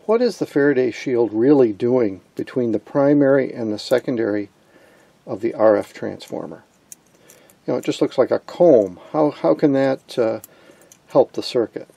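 A man giving an informal hands-on electronics tutorial in a gravelly, slightly husky voice, posing questions and answering them. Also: medium pitch, moderate pace, dark tone. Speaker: electronics hobbyist presenter.

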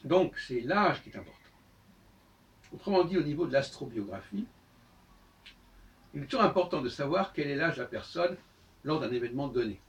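An older man giving a solo talk to camera in a book-lined room, explaining step by step with many pauses and restarts. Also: on the high side, animated, far from the mic, low-quality recording. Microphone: far camera on a tripod.

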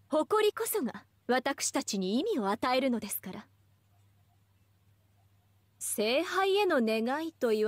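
A woman's voice: sits high, no echo, heavily acted. A woman playing a proud young goddess of justice in an anime game, with refined, teasing, ladylike speech. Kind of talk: scripted anime game voice acting.

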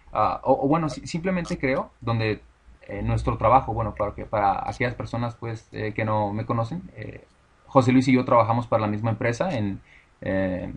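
A Mexican man being interviewed, speaking Spanish with a Mexican accent in a thoughtful, hesitant way, often restarting his words. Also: lowish voice. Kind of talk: casual conversation.

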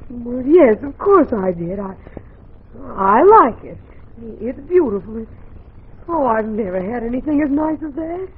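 A sweet, wistful young frontier woman in a 1940s radio drama. Her voice is very breathy and swings widely in pitch, hesitant and earnest. The old recording is narrow and muffled. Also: big pitch swings, a little slow, exaggerated voice. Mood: dreamy, puzzled.

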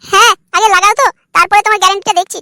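A man voicing a shrill young wife in a comedy cartoon, scornful, nagging and sarcastic. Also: steady tone, racing, bright, forceful tone, no room sound, clean studio sound, exaggerated voice. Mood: sceptical. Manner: mocking, complaining.

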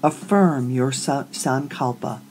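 A woman leading a guided meditation as a yoga nidra teacher, speaking calmly and soothingly, with deliberate pauses between short phrases. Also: a bass voice. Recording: voice over prominent meditation music.

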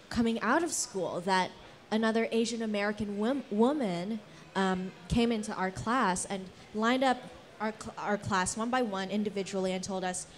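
Casting: young woman, medium pitch, earnest rambling storyteller, casual panel-discussion delivery. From Philippines, Singapore and Guam. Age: young adult. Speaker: panelist, theater actor. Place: indoor event space with brick wall. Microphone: handheld event microphone.

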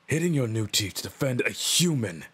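A man's voice: mid-range voice, very animated, normal speed, fairly bright tone, breathy edge. A man playing an arrogant, domineering orc who would be chief, speaking with pompous menace in a roleplay audio drama. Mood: outraged.

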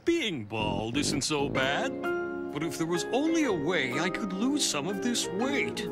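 An actor playing a jolly middle-aged, heavyset cartoon dad with drawn-out theatrical delivery. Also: medium pitch, wildly swooping pitch, loud music behind the voice. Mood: contented, wistful. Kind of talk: cartoon voice acting.

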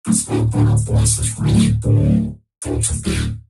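A menacing machine voice, slow and dark in tone. The vocoder synth turns his voice into an evil robot, with a soft electronic hum under it. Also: faint music behind. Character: sinister robotic male synth voice.

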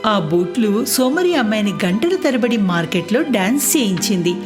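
Lively woman narrating a children's story. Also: mid-range voice, normal speed, music in the background.

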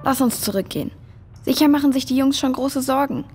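A female performer playing a caring, practical girl in a children's audio drama, slightly husky, in cave-like reverb. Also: high voice, brisk, over music, natural voice. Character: caring, practical girl comforting her friend.

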